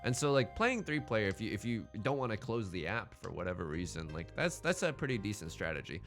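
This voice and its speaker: Male let's play host, slangy and chatty, very varied pitch, thinking aloud over light background music. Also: big pitch swings, fairly quick, fairly bright tone. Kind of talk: casual gameplay commentary.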